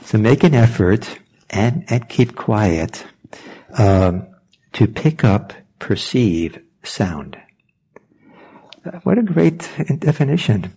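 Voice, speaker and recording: A man whose first language is Norwegian speaks in a low, dark, gravelly voice. He gives a quiet spiritual talk on listening. He pauses often and searches for his words. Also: big pitch swings, low-quality recording. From Norway. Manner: thoughtful, hesitant, searching for words.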